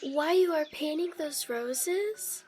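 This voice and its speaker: A woman playing a curious, polite and plucky young girl in an audiobook, with a measured, questioning manner. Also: sits high, lively intonation, darkish tone, faint music behind. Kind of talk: audiobook character acting.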